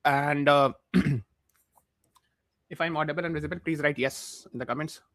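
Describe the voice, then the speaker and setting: A man's voice: fairly high pitch, steady tone, a little slow, a bit bright, no room sound. A man with an Indian accent teaching a live online exam class while mildly feverish, explaining points repeatedly and checking with questions.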